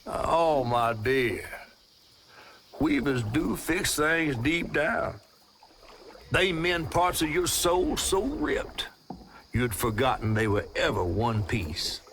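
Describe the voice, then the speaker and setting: An actor playing a giant talking fish in a video game, a sly storyteller with a Southern US accent and a theatrical, teasing folksy manner. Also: male voice, mid-range voice, lively intonation, takes it slowly, fairly bright tone, a little hiss or hum. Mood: gentle. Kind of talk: video game character acting.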